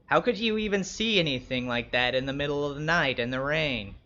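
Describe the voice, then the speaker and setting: A man playing a wry, wary young escort who narrates his own story in measured, descriptive literary prose. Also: fairly high pitch, pushed and bright, muffled recording. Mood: wary, suspicious. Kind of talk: first-person literary narration.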